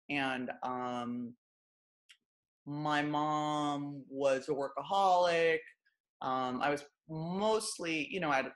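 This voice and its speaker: A woman from Los Angeles with a deep, low voice, a former stand-up comic talking candidly and wryly as an interview guest. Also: a bit bright. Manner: wry, self-deprecating, lots of fillers like "you know" and "like".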